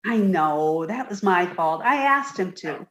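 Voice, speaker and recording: A woman's voice: lowish voice, animated, a little slow, fairly bright tone, roomy sound, voice pushed down. A woman voicing a childlike, dim, easily frightened young woman in a radio comedy. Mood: naive, earnest. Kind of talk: 1950s radio sitcom reading.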